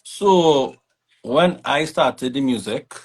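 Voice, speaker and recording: A man's voice: mid-range voice, expressive, takes it slowly, slightly rough. A man from Trinidad and Tobago with a Trinidadian accent, an artist manager telling his story in a laid-back, easygoing way. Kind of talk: casual interview chat.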